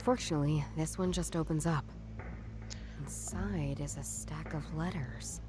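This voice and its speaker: An actress playing a wry female detective working alone in a noir visual novel, with a dry, deliberate delivery. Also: low-pitched, fairly even intonation. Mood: focused, musing. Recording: game music playing behind the voice.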